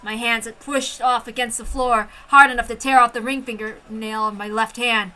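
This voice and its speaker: A woman reading a first-person story aloud with an expressive, intense dramatic delivery. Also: fairly high pitch, moderate pace, low-quality recording. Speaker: amateur story reader.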